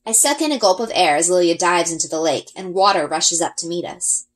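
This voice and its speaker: A woman reading a first-person children's adventure story aloud in an expressive storytelling style. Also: on the low side, brisk. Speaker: read-aloud reader, elementary school.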